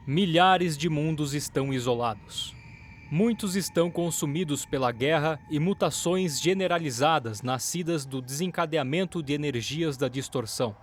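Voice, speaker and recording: A man delivering solemn, deliberate scripted narration for a dramatic production. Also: on the high side. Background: music.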